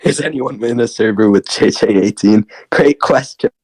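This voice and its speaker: A hoarse young man doing excited post-game commentary, laughing often and breaking into shouts. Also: fairly low pitch, moderate pace. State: hoarse, lost voice.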